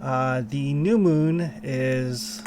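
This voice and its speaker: Relaxed man running a tabletop game. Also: mid-range voice, drawn-out words, slight background noise. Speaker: game master.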